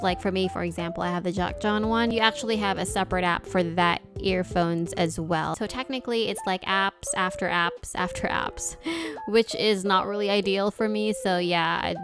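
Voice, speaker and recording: A woman giving a casual product review as the host of an unboxing video. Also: medium pitch. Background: background music.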